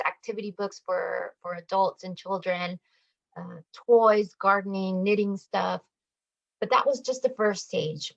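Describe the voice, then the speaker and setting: A woman's voice: mid-range voice, no room sound, lo-fi sound. A woman explaining a community campaign as a guest in a radio discussion, speaking over an online call.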